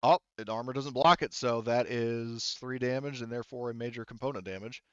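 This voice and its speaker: Halting male game master, smooth-voiced. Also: medium pitch, dry recording, muffled recording. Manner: halting, thinking aloud. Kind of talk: narrating a tabletop game session.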